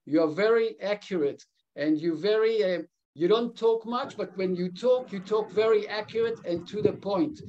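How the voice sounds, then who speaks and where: A man's voice: sits high, normal speed, lo-fi sound, slight background noise. A man in his fifties with a mixed South African and Israeli English accent, giving a live webinar talk over a video call with many restarts and fillers. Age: 50s. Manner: earnest, with frequent restarts and fillers. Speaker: webinar speaker.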